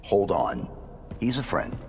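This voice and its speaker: A man's voice: low voice, sing-song, slow, darkish tone, slight background noise, heavily acted. A man playing a stoic, commanding super-soldier in a video game, speaking tersely and firmly. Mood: stern, controlled. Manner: terse, firm, restrained. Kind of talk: video game character acting. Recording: long hall reverb, music behind.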